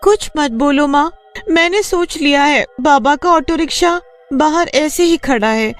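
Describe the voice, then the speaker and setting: A woman acts as a young college girl in a cartoon story. Her voice is high and earnest, with an emphatic, determined delivery. Music plays softly behind her. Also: measured pace. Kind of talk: Hindi cartoon story dubbing.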